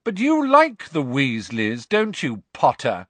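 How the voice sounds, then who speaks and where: A man's voice: on the low side, normal speed, no room sound, lightly acted. A man voicing a spiteful, smug teenage schoolboy bully in an audiobook, with a taunting drawl. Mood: sneering, goading. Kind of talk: audiobook character voice.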